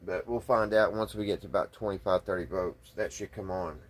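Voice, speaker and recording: A man with a slightly husky voice, talking casually and folksily through hands-on repair work, thinking aloud without hurry. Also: low-pitched, steady tone. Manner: folksy, unhurried, thinking aloud while working. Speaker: hobbyist radio restorer and video host. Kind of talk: casual hands-on workbench narration.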